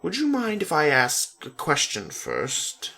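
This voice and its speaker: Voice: mid-range voice, huge pitch swings, slow pace, gravelly, pushed and bright, slightly rough, pitched above the performer's own voice, heavily acted. A reader voicing a female hospital nurse, patient and hesitant, explaining carefully in a dramatic story reading.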